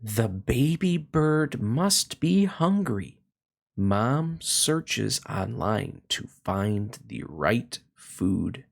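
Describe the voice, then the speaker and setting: A man hosting a read-along, reading a children's picture book aloud in a slow, expressive way and then chatting about it. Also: vocal fry. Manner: slow, expressive storytelling with swooping pitch.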